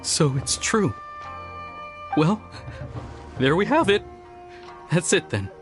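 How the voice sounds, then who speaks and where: A man's voice: a little high, big pitch swings, takes it slowly, soft background music. An actor playing a timid, crestfallen young man in a video game, speaking hesitantly. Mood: dejected. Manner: hesitant, downcast.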